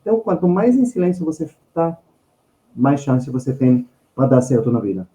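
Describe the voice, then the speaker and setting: Male podcast guest with an Israeli accent: mellow tone, emphatic conversational delivery, recorded in a roomy space. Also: medium pitch, very animated, moderate pace, soft background music. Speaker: guest finance expert. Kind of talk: conversational podcast storytelling and advice.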